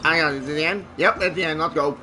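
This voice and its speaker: A man's voice: on the high side, quick pace, sharp tone, soft background music. A man giving casual, slangy, exclamatory commentary while playing a video game. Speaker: gamer commentating on gameplay. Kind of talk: casual reactive gameplay commentary.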